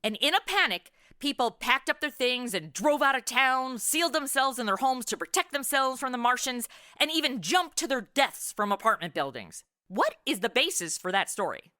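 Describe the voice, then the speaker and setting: A woman hosting a podcast in a lively, scripted but conversational style. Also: high voice, moderate pace, hardly any pauses, no echo, clean studio sound. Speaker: podcast host.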